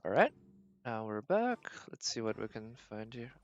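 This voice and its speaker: A man's voice: on the low side, sing-song, normal speed, highs cut off, soft background music. A man streaming a video game, giving casual live commentary and thinking aloud in short, murmured remarks.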